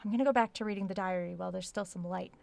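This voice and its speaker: A woman's voice: medium pitch, steady tone, rapid-fire, creaky, lightly acted. An actress playing a curious, methodical researcher who thinks aloud as she records her log in a horror audio drama. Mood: intrigued, searching.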